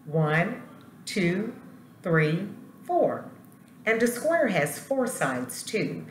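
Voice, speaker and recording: A woman voicing a warm, instructive kindergarten teacher in a storybook, explaining deliberately. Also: on the low side, big pitch swings, exaggerated voice. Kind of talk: storybook character voice. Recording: roomy echo, light background noise.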